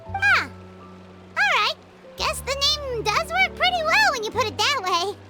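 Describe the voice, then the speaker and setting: A woman's voice: extremely high pitch, very animated, measured pace, heavily acted. A woman voicing a tiny, childlike female fairy companion in a video game, curious, perky and blunt. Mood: curious, casual. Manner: refers to self in third person, perky. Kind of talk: animated game dialogue. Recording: loud background music.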